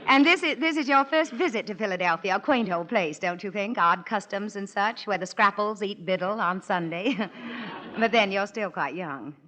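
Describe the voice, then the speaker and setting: An actress playing a haughty young society bride in a 1940s radio play, arch and teasing. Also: female voice, mid-range voice, normal speed, pushed and bright, a little hiss or hum, close to an everyday voice. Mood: mocking. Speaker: lead actress. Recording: dull 1940s radio broadcast.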